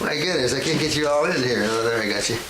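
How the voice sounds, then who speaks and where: A man gently interviewing a child at home, coaxing answers and laughing often. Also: medium pitch, lively intonation, quick pace. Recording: echoey room, far from mic, noisy.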